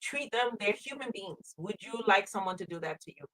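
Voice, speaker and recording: A woman's voice: mid-range voice, animated, a little fast, fairly bright tone, low-quality recording. A middle-aged woman in casual conversation as a co-host, speaking earnestly and repeating phrases for emphasis. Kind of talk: casual chat.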